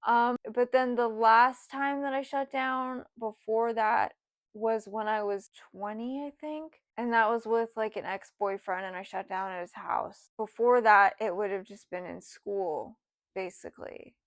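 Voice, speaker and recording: Young woman, twenties, casual vlog storytelling, rambling with fillers, slightly high voice. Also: fairly even intonation, a little slow, no room sound, studio quality. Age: 20s. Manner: rambling, lots of "like" and "you know", voice drops soft at times. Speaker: vlogger.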